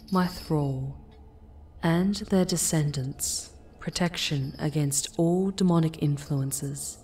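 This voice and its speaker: Actress as ancient proud demoness, slow theatrical delivery, soft breathy tone, formal phrasing, ASMR audio drama. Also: female voice, sits low, full character voice. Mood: formal, resolute. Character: proud ancient demoness, long imprisoned, yearning for freedom. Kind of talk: ASMR audio roleplay acting.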